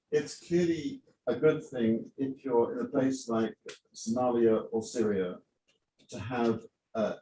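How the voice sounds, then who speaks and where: A man's voice: lowish voice, warm tone. A blunt man answers from a panel, his words carrying across an echoey conference hall from some distance. He thinks aloud with many ums and dismisses what he dislikes, with a faint hum of ambience behind him.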